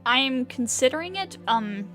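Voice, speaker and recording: A woman's voice: sits high, animated, unhurried, sharp tone. A young adult woman chatting casually as a game streamer, rambling and hesitant, with game music behind her. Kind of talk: casual livestream chat.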